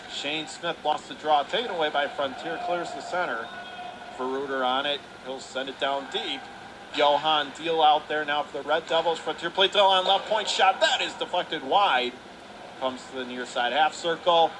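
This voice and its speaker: A male play-by-play hockey announcer with a fairly high voice. He calls the action urgently in short, clipped phrases. Clear arena noise runs behind him throughout. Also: moderate pace. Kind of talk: live hockey commentary. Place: indoor ice hockey arena. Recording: clearly noisy live rink recording.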